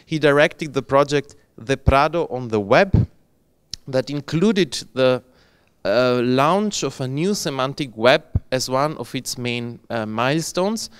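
Man in his forties, host introduction, slow and careful, smooth clear voice, dry sound. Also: medium pitch, dry recording. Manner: careful, hesitant, many "uh"s. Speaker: conference host introducing speaker. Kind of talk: formal introduction read in English. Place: lecture hall. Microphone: handheld mic.

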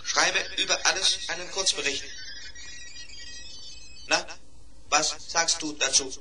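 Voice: medium pitch, takes it slowly, background noise, close to an everyday voice. An actor playing a polite, earnest schoolboy robot in a 1970s German children's radio play, speaking precisely. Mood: businesslike.

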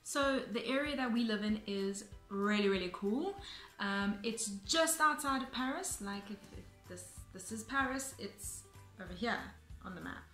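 Young woman, South African accent, bright tone, chatty vlog, roomy echo, faint music behind. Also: mid-range voice, animated, normal speed. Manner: chatty, explanatory, frequent ums and restarts. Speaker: vlogger. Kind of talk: casual talk to camera. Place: bright room indoors, seated on sofa.

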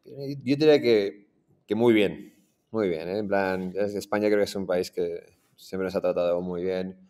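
A man in his forties with a Madrid Spanish accent, telling his story as an interview guest on a video call, relaxed and full of restarts. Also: deep voice, a little slow. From Iran, raised in Madrid. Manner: relaxed, many restarts and fillers. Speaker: startup founder guest. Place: in front of a green screen, indoors.

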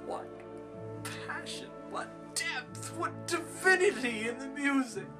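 A man's voice: a little high, animated, loud music behind the voice, pitched above the performer's own voice. A man performing a film monologue as a bitter, envious composer, scheming and obsessed, with theatrical, grandiose delivery. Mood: triumphant, raving. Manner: theatrical, gloating, drawn-out.

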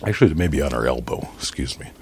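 A man talking casually as a podcast guest, explaining things in a halting way and correcting himself as he goes. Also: a bass voice, expressive, darkish tone, husky. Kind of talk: casual conversational movie discussion, retelling a story.

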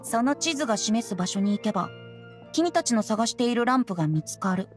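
A woman's voice: a little high, expressive, a little fast, natural voice. A woman voicing a carefree, sly young boy bard in an anime game, light, breezy and teasing. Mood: knowing, breezy. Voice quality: light, boyish. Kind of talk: anime game character acting. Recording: game music behind the voice.

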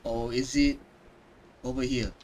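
A man's voice: medium pitch, little pitch movement, unhurried, darkish tone, slight background noise. A man giving casual live reactions as he streams a video game. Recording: faint game music behind commentary.

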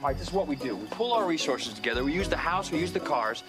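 An actor playing a fed-up, cynical schemer in a film comedy, talking persuasively and sardonically. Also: male voice, on the high side, expressive, quick pace, over music, natural voice. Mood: fired up, persuasive.

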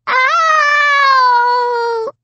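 A woman's voice: very high voice, fairly even intonation, drawn-out words, pushed and bright, dull audio, voice pushed up, full character voice. A woman voicing a frightened three-year-old girl who wails and pleads to be let go. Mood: crying in pain. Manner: wailing, pleading. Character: frightened little girl, about three, crying.

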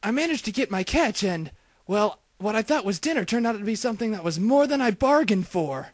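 A man's voice: high voice, expressive, fairly quick, no room sound, studio quality. A man voicing folksy, theatrical small-town men with a Southern US accent in a parody cartoon dub. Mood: rueful storytelling.

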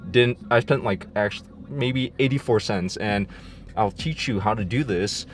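Excitable young man vlogging casually. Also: on the low side, normal speed, a little hiss or hum. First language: not English. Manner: excitable, slangy, says "dude" and "freaking" a lot. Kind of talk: casual vlog to camera. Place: car, then kitchen, then living room, indoors.

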